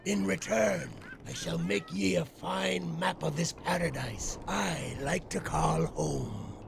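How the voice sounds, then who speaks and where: Gravelly, high-pitched pirate. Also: male voice, animated, takes it slowly, noisy background, full character voice. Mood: gruff, bargaining. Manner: old-fashioned pirate dialect. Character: scruffy, bargaining pirate castaway on a cursed island. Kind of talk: video game character acting.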